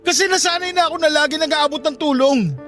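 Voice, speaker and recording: A man's voice: very high-pitched, quick pace, sharp tone, soft background music. An actor playing a struggling grown son in a radio drama, defensive and bitter, ashamed of how he earns money. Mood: anguished. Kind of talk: Tagalog radio drama acting.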